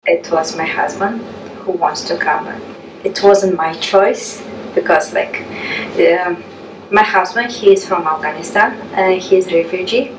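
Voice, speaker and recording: Woman, earnest, lowish voice, echoey room. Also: measured pace. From Uzbekistan. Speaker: immigrant interviewee. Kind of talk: documentary interview. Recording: roomy room, distant mic, clear noise.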